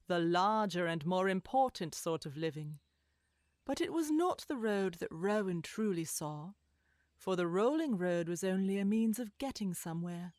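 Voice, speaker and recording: A woman narrator reading a scripted children's story in an expressive, measured storytelling manner. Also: mid-range voice, no echo, clean studio sound. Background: music bed.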